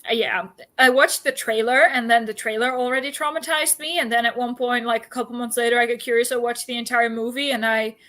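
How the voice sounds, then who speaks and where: Female stream co-host, bright and slightly high, informal, self-deprecating chat. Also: fairly even intonation, fairly quick, few pauses. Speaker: co-host of reading livestream. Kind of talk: casual chat.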